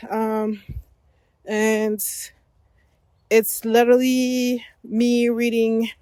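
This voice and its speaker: A French-native woman talks slowly in a fairly high voice, rambling and correcting herself as she goes. She records her solo podcast on a walk along an outdoor forest path. The sound is dry and close, with almost no room around her voice. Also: warm tone, no echo. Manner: rambling, self-correcting, laughs often. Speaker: podcast host. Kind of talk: unscripted solo podcast while walking.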